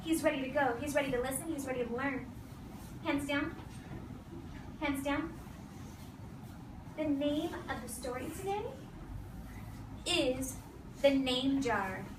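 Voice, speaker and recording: A high-pitched woman speaks as an elementary teacher, heard from across a noisy room. She gives firm, short instructions and repeats key phrases on purpose as she leads a read-aloud for small children. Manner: firm, directive, with short commands; deliberate repetition of key phrases. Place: classroom full of seated children. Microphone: distant camera across the room. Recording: echoey, noisy classroom recorded from far off.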